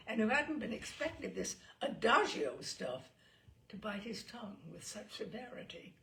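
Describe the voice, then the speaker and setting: A woman narrates a comic tale from a script. Her pitch is middling and moves around a great deal, with a gravelly creak in the voice. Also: slight background noise, poor audio. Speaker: story reader.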